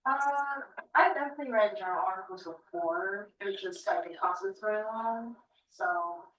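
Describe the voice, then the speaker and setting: A woman's voice: mid-range voice, moderate pace, fairly bright tone, recorded from a distance, slight background noise. A young woman talking casually in a group discussion, recorded in a roomy space away from the microphone.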